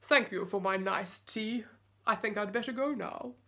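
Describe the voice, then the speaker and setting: A woman's voice: medium pitch, moderate pace, sharp tone. A New woman voicing a polite, hungry tiger in a children's picture book. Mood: grateful. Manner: courteous, storybook delivery.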